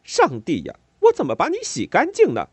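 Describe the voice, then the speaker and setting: A man voicing a coarse, brash neighbor woman who taunts loudly. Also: high voice, huge pitch swings, normal speed, husky, dry recording, pitched above the performer's own voice, heavily acted. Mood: brash mockery.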